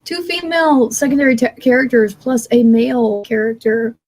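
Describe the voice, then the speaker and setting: A woman's voice: on the high side, normal speed, a little mellow. A woman co-hosting a casual panel talk and making announcements to the listeners. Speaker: podcast co-host making announcements.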